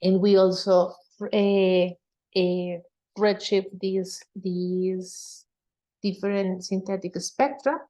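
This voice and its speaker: Slow, dark-voiced woman presenting research. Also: medium pitch, fairly even intonation. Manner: halting, frequent hesitations. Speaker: researcher presenting a conference talk. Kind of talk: technical slide presentation.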